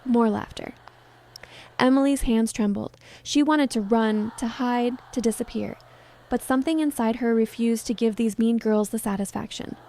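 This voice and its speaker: Mellow, high-voiced woman storyteller. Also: fairly even intonation, moderate pace. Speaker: story narrator. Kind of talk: scripted dramatic story narration.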